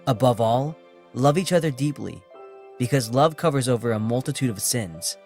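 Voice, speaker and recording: A man playing a gentle, cheerful, patient young savior in an audio drama, with a kindly, reassuring manner. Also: mid-range voice, animated, normal speed, lightly acted. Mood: earnest scripture reading. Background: music.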